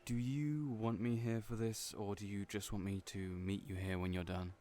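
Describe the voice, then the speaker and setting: A man playing a kind, gentle friend in an audio roleplay, who speaks hesitantly with frequent fillers. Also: fairly low pitch, fairly even intonation, moderate pace, lightly acted.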